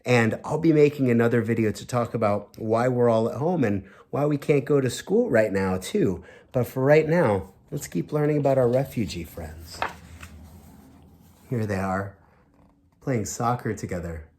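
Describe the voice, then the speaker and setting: Guy teacher doing storytime for little kids, super expressive, voice goes up and down a lot, mid pitch. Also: normal speed. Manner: expressive, child-directed, asks the listener simple questions. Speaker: teacher reading a picture book to children. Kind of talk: storytime read-aloud with questions to young children.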